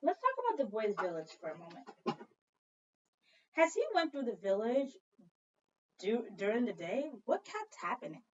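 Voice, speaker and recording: A woman teacher doing an expressive read-aloud for children, telling the story dramatically and prompting listeners with questions. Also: on the high side, normal speed, pauses often, slight background noise. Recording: dull lo-fi sound, distant from mic.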